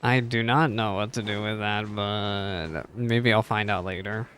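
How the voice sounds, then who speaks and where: A man's voice: lowish voice, steady tone, measured pace, a bit bright, slight background noise. A man streaming a game, reacting casually, musing to himself and reading text aloud, often repeating phrases.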